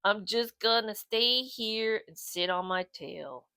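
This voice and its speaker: A woman's voice: medium pitch, slow, bright, forceful tone, dry recording, clean studio sound. A woman voicing a lazy, skeptical male bulldog in a children's storybook, with a sluggish, drawling delivery. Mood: dismissive. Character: lazy, bored, slow-talking male bulldog, laid-back and skeptical.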